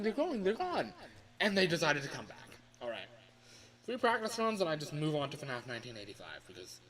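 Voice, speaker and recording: A man's voice: medium pitch, low-quality recording. A man livestreaming in a casual, rambling way, sounding exasperated and self-mocking, and swearing freely. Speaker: livestreamer recording voice lines.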